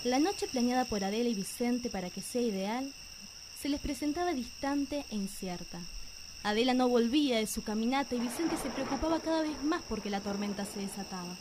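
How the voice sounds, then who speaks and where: A woman with an Argentine accent narrating a radio drama in a measured, suspenseful storytelling manner. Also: a little high, noisy background. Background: music.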